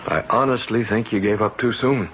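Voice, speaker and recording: An actor playing an earnest, patient doctor in a radio drama, speaking in a measured, hesitant, placating way. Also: male voice, fairly low pitch, natural voice. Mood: concerned, placating. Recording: muffled radio drama recording with faint noise.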